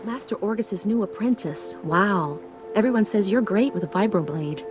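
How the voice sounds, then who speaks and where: An actress playing a starstruck, chatty young apprentice in a video game, informal and wide-eyed. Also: female voice, medium pitch, animated, moderate pace, close to an everyday voice. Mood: excited wonder. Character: eager, chatty, starstruck young Padawan girl. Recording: loud music behind.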